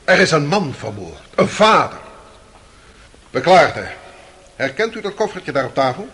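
A man's voice: fairly high pitch, sing-song, measured pace, fairly bright tone. An actor playing a stern, accusing prosecutor in a radio courtroom drama, formal and pointed in his questioning. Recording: noisy old radio play recording.

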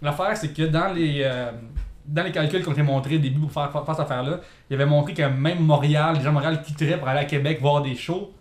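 A man's voice: fairly high pitch, fairly even intonation, fast talker, a little mellow, roomy sound. A man with a Québécois accent chatting casually on a podcast, rambling with lots of restarts. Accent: Québécois French. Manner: rambling, many restarts and fillers. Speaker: podcast co-host. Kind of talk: casual chat.

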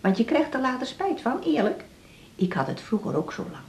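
A woman's voice: on the high side, very animated, fairly quick, a little mellow. An actress playing a lonely, plain-spoken older woman who is a hospital patient in a radio drama. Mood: motherly, cheeky. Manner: plain-spoken, wheedling, folksy. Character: chatty, lonely, frail but cheeky older female hospital patient. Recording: roomy, echoing radio drama studio.

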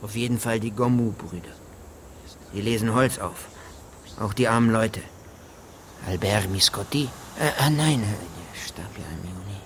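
A noisy recording carries a man playing a low-pitched country gamekeeper in a radio play. He is gruff and evasive, answering in clipped, offhand everyday phrases. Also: moderate pace, fairly bright tone, close to an everyday voice. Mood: hesitant, offhand. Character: gruff, evasive rural gamekeeper, son of a poacher. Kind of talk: German radio drama acting.

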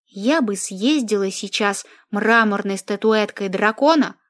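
A woman narrating first-person fiction as an audiobook, with a measured, expressive reading. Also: medium pitch.

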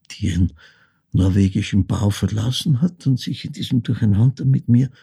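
An older actor with an Austrian accent playing a reflective ageing man who recounts his wartime childhood in a halting, hushed literary monologue. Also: fairly low pitch, heavily acted. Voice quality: gravelly, husky. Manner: halting, deliberate, hushed, broken phrasing. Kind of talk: literary monologue, dramatic storytelling.

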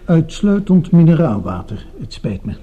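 An actor playing a smooth-talking, patronising and quietly sinister male doctor in a Dutch radio drama, placating and measured, with a breathy voice. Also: on the high side, big pitch swings, lo-fi sound. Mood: courteous, controlling.

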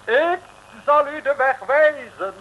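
High-voiced, unctuous street preacher. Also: male voice, slow pace, a little hiss or hum. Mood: solemn, exalted. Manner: solemn, pompous, old-fashioned formal speech. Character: unctuous, exalted street preacher calling everyone brother. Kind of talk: old radio serial comedy acting. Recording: thin phone-like old radio recording.

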